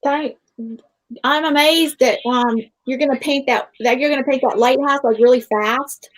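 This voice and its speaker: A woman hosting a livestream in casual chat, halting and repeating phrases as she goes. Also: sits high, fairly even intonation. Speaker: livestream host. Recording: dull livestream audio.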